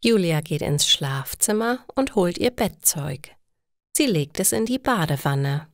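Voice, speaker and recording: A woman narrates a simple story for language learners. Her voice sits slightly low and moves expressively in pitch. She goes very slowly and deliberately, as a careful storyteller would. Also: animated, no room sound, clean studio sound. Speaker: narrator of German listening lessons.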